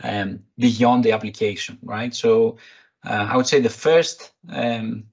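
A man with a Greek accent, a recruitment technology executive answering interview questions in a halting way, full of fillers and restarts. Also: mid-range voice, steady tone, darkish tone, breathy edge, lo-fi sound. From Greece. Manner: hesitant, many fillers and restarts.

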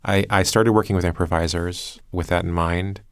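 A man's voice: low-pitched, fairly even intonation, moderate pace, clean tone, no room sound, studio quality. A man who produces a podcast, explaining his work reflectively in an interview with hesitant, thoughtful phrasing.

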